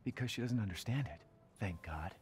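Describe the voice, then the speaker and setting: An actor playing a cautious, protective young father in a video game, speaking softly and earnestly. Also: fairly low pitch, animated, a little fast, natural voice. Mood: defensive worry.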